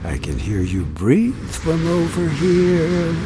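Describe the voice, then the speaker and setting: A man's voice: a little high, big pitch swings, slow, noisy background. An actor playing a menacing, jealous wealthy man in an audio drama, with a taunting, theatrical delivery.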